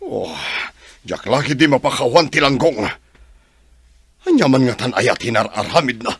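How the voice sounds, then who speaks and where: An actor playing a stern, irritable older patriarch in a radio drama, gruff and commanding. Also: male voice, mid-range voice, lively intonation, moderate pace, dry recording.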